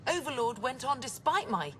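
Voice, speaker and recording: A woman's voice: high-pitched, big pitch swings, normal speed, bright, forceful tone, a little hiss or hum, heavily acted. An actress voicing a guilt-ridden woman scientist, tormented and stammering under strain, in a video game. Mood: anguished.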